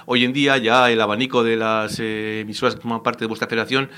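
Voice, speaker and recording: A man's voice: mid-range voice, little pitch movement, quick pace, pushed and bright, clean tone. A man hosting a radio interview, speaking with frequent hesitations and fillers. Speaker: radio show host.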